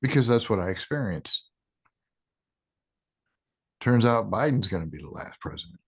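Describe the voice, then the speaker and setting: A man in his sixties, a podcast guest talking casually in a halting way, restarting sentences with ums. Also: on the low side, lively intonation, breathy edge. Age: 60s. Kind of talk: casual interview talk.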